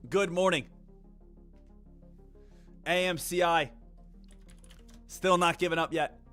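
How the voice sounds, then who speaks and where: A man's voice: sits high, steady tone, takes it slowly, a bit bright, soft background music. A man hosting a live day trading stream, chatting casually with viewers in a brash, joking, crude way. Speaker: day trading livestream host.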